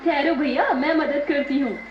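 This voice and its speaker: A woman's voice: sits high, moderate pace, lightly acted. A woman playing an earnest, helpful young magical village girl in a children's story, speaking eagerly. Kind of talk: dramatized children's story dialogue. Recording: echoey, dull, music behind.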